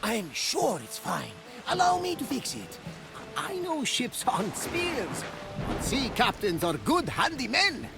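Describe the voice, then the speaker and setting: An actor playing a jovial, superstitious older sea captain in a video game, exuberant and theatrical. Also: male voice, very high-pitched, huge pitch swings, unhurried, gravelly, exaggerated voice. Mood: cheerful, eager. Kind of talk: video game cutscene acting. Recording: loud music behind the voice.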